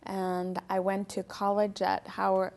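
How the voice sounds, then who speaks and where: A woman's voice: mid-range voice, monotone, measured pace, vocal fry, darkish tone. A woman originally from Ethiopia, a physician assistant, giving brief, polite answers on a local TV interview.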